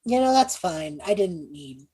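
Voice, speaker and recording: Halting man playing a tabletop game. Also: sits high, animated, dark tone, slightly rough. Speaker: player in a tabletop roleplaying game. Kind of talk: casual in-character improv.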